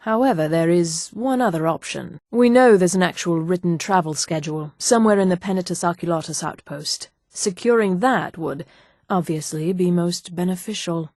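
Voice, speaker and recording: An actress playing a proud, haughty high elf woman in a fantasy video game, speaking with crisp, condescending articulation. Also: darkish tone, no echo, clean studio sound, close to an everyday voice. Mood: composed, secretive. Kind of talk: scripted video game voice lines.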